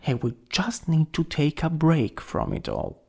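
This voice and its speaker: A young man acting a caring, worried best friend in an ASMR roleplay, gentle, hesitant and intimate. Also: a little high, wildly swooping pitch, unhurried, vocal fry, husky, full character voice. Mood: gentle, reassuring.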